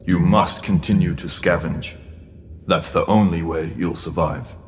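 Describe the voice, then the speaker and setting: Very deep, stern, cryptic mentor. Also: male voice, steady tone. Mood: ominous, instructive. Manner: grave, admonishing, deliberate. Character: stern, cryptic mentor figure guarding a teenage boy. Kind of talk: audio drama acting. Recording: long hall reverb, clear background noise.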